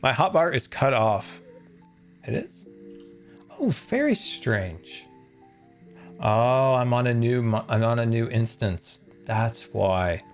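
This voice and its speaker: A man chatting casually on a game live stream, excitable and talkative, thanking his viewers as he plays. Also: mid-range voice, slow pace, long pauses, faint music behind.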